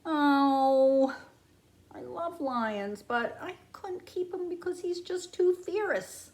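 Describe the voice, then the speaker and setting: A woman reading a picture book aloud to young children like a preschool teacher, with expressive, exaggerated wonder. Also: sits high, takes it slowly.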